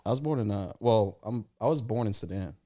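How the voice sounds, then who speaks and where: A man in his forties from Eritrea, co-hosting a casual comedy podcast and telling stories in a halting, rambling way with many restarts. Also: lowish voice, expressive, dark tone. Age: 40s.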